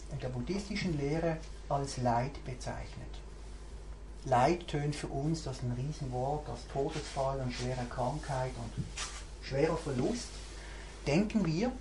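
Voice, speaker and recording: Woman teaching mindfulness, thinks out loud, roomy echo, bit of hiss. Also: sits low. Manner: reflective, searching, thinking aloud. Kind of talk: meditation group talk. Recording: roomy recording, faint background hiss.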